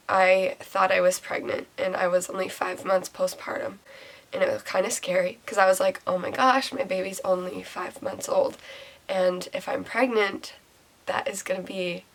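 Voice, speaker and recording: A young woman talks straight to her audience in a personal vlog, her voice nasal and raspy from a cold. She speaks at an ordinary pitch, pausing often and sounding close to tears. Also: rough recording. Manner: emotional, close to tears, halting with many pauses. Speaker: vlogger.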